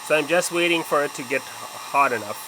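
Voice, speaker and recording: A man giving an unscripted, step-by-step hands-on tutorial, speaking haltingly and often repeating or rephrasing his instructions. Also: fairly high pitch, noisy background. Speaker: repair tutorial presenter.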